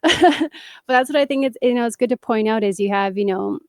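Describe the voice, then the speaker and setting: A woman's voice: a little high, a little fast, no echo, studio quality. A woman hosting a podcast interview, asking long rambling questions full of fillers. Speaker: podcast host. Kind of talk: casual interview chat.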